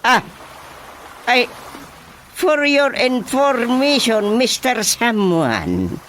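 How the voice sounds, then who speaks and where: A man's voice: high voice, a bit bright, a little hiss or hum, heavily acted. A man acting a self-important, flustered elderly village chairman with an Ilocano accent in a radio drama comedy. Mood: pompous. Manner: pompous, muttering, exclamatory. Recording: music behind the voice. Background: music.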